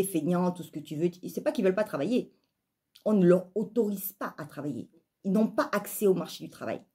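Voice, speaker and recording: Husky, mellow woman with southern French accent. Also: lowish voice. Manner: emphatic, rhetorical questions and repetition. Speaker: life coach and spiritual vlogger. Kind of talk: opinionated spoken monologue to viewers.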